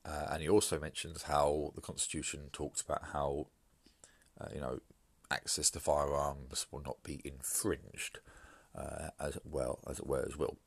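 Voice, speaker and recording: A man hosting his own podcast, talking through an opinion piece in a measured, hesitant way with frequent fillers and restarts, sounding congested and bunged up from hay fever. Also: very deep voice, flat delivery, creaky, breathy edge, no room sound. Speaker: podcast host. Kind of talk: solo podcast commentary.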